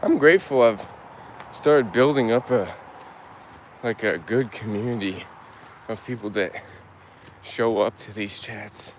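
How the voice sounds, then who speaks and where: A young man from Turkey talks with his stream chat while out walking in the woods, easygoing and unhurried. His voice sits fairly low, and he takes his time between phrases, with faint outdoor noise underneath. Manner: laid-back, answers chat aloud, halting restarts.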